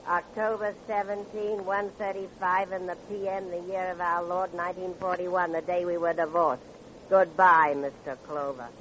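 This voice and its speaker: A woman's voice: lowish voice, level tone, normal speed, lightly acted. Woman acting a bitter American divorcee, flirtatious, taunting, abrupt dramatic swings, dull vintage radio drama sound. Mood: mocking. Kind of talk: old-time radio crime drama acting. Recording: muffled, noisy vintage radio recording.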